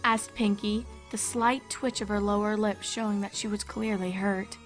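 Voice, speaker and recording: A woman's voice: mid-range voice, steady tone, normal speed. A woman reading scripted fan fiction as a storybook narrator. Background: background music.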